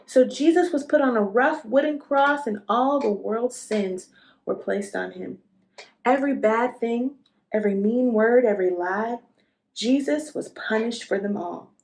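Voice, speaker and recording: A woman narrating a children's storybook expressively, as a read-aloud host speaking to young listeners in an unhurried storytelling way. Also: fairly high pitch, a little mellow, poor audio.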